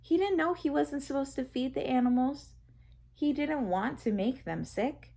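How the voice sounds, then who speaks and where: A woman narrating a children's picture book aloud in an expressive, deliberate storytelling manner. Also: sits high, creaky.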